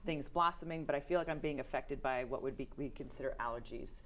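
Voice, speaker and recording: A woman's voice: very deep voice, quick pace, slight background noise. A woman co-hosting a morning radio show in the studio, asking curious questions. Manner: curious, asks questions, conversational.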